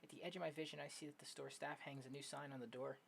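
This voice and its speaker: A streamer reading a visual novel aloud in an expressive way, narrating and reacting as they go. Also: medium pitch, racing, gravelly, heavy background noise. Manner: expressive, acting out dialogue. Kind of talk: narration of game text with live reactions. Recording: loud music under the voice. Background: loud game music.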